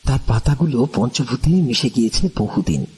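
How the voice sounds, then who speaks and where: A male narrator with a very husky, mellow voice delivers a scripted first-person story. His pitch moves in an animated, dramatic way across the sentences. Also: normal speed.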